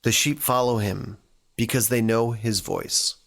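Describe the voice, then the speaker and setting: A man reading scripture and reflecting on it as a church devotional, speaking earnestly in deliberate, short phrases. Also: medium pitch, fairly even intonation, fairly bright tone. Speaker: church staff member giving a devotional.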